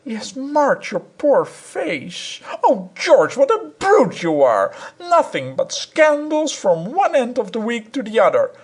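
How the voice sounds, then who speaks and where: Brief: tiny, enraged wife, very high shrieking voice, slow and wailing on the big lines. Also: male voice, huge pitch swings, husky, exaggerated voice. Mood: furious scolding. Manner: screaming, wailing, near tears. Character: shrill, furious small wife, scolding. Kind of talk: audiobook character reading.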